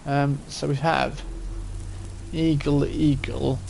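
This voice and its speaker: A man's voice: on the high side, flat delivery, slow, vocal fry, darkish tone, a little hiss or hum, rough recording. A man in his forties giving casual live-stream commentary as he plays, chatting and thinking aloud. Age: 40s.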